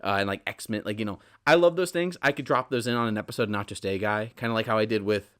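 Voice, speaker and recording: A man in his twenties hosting a casual solo podcast, rambling and stammering over repeats, swinging between loud bursts and quiet asides. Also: fairly low pitch, fast talker, clean tone. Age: 20s. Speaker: podcast host.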